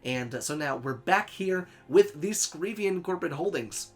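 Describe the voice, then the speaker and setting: A man's voice: on the high side, lively intonation, moderate pace, faint music behind. Chatty young man with a sniffly cold. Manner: chatty, upbeat, laughs easily. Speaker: gaming channel host. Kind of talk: casual let's play commentary. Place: home in Sweden.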